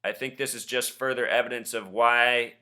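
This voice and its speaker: A man's voice: mid-range voice, steady tone, normal speed, sharp tone, clear, resonant tone. A man with an insistent, suspicious manner, speaking as an online host and caller in conspiracy commentary. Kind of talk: conspiracy commentary and confrontational phone questioning.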